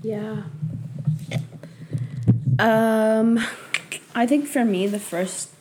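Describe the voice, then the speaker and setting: A chatty young woman who grew up speaking Spanish first, talking about her life as a guest on a podcast. Her voice sits a little high, and she laughs often and drops in many fillers as she tells her stories. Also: fairly even intonation, drawn-out words, a little mellow, slight background noise, low-quality recording. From Mexico. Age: young adult. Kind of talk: casual chat.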